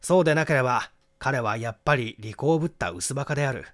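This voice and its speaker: A man's voice: medium pitch, quick pace, fairly bright tone. A man reading a literary mystery story aloud as a dramatic narrator. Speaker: audiobook reader, narrator.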